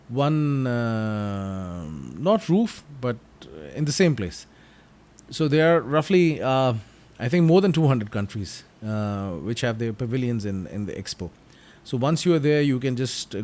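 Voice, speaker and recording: Urdu-native male YouTuber, mid-pitched, hesitant chatty narration with lots of fillers. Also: darkish tone. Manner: halting, many ums and uhs, friendly chatty. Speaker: flight sim YouTuber. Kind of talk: casual narrated gameplay commentary.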